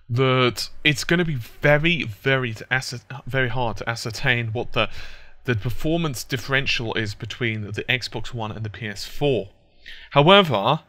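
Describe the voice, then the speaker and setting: A man's voice: medium pitch, bright, forceful tone, no room sound. A man hosting a gaming tech news channel, explaining hardware in a rambling, self-correcting chat, a little congested from hay fever. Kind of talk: casual tech commentary over gameplay.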